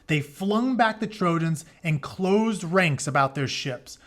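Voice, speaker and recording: A man with a fairly high voice reads an epic tale aloud in the role of a teacher. He gives the written text a dramatic delivery, then shifts into plain, informal paraphrase to explain it. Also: expressive, takes it slowly, fairly bright tone. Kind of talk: read-aloud of an epic retelling with casual asides.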